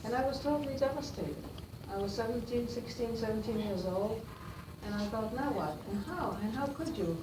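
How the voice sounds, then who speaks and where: An older woman from Austria with an Austrian German accent, her first language German, speaking to a class of students in a halting way full of fillers and restarts. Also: fairly high pitch. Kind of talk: classroom talk. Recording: roomy, distant, noisy room.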